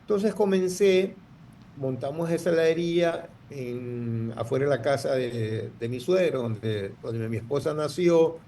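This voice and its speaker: A man's voice: medium pitch, a little slow, mellow, a little hiss or hum. A man, telling his story in an interview, rambling with many restarts. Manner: rambling, full of restarts and fillers. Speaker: guest entrepreneur.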